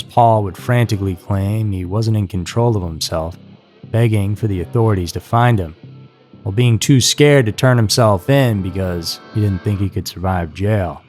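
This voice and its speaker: A man's voice: lowish voice, moderate pace, a little mellow. A man reading scripted true-crime narration as a narrator. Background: music.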